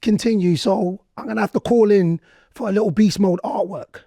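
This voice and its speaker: A man hosting a casual interview podcast, his voice hoarse and nearly gone, talking in excitable slang. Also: high voice, moderate pace, no echo, studio quality. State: losing his voice. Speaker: podcast host.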